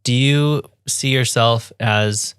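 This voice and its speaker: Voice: mid-range voice, slow, clear, resonant tone, no room sound, clean studio sound. A podcast host asking brief, plain interview questions.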